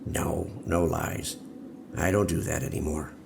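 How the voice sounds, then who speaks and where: Male actor as old male convict, deep pitch, creaky grain, calm and cryptic, measured delivery, radio drama, clearly noisy background. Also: heavily acted. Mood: measured, urging. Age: older. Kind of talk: audio drama acting. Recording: noisy ambience behind the voice.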